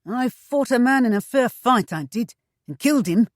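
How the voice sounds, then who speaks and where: A gloating, bitter old pirate eunuch, as a woman reads him out, rough and coarse in his talk. He rises high and loud as he boasts, and the sound is close and dry. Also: lively intonation, moderate pace, dry recording, lightly acted. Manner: coarse dialect, tavern bluster.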